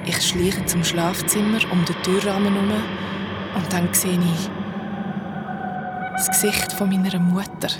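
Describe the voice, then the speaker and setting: Eine Schauspielerin mit Schweizer Färbung spielt in einem Hörspiel die verstörte Geistertochter, stockend und hauchig, mit Wortwiederholungen. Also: female voice, lowish voice, level tone, over loud music, natural voice. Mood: hushed dread. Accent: Swiss. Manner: halting, breathy, repeating words under strain. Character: young woman ghost, haunted, distressed daughter. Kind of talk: radio drama narration and dialogue.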